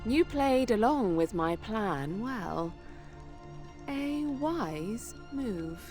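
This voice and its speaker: Very slow, haughty noblewoman. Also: mid-range voice, animated, a little mellow, soft background music. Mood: smug, dismissive. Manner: imperious, drawn-out, condescending. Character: haughty scheming noblewoman, cool and commanding. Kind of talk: video game cutscene acting.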